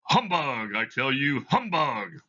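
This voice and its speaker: A man's voice: mid-range voice, very animated, slow, bright, forceful tone, muffled recording, voice pushed up, heavily acted. A man playing a grumpy, skeptical old miser who is rattled yet defiant, blustering through a play reading. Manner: blustering, exclamatory.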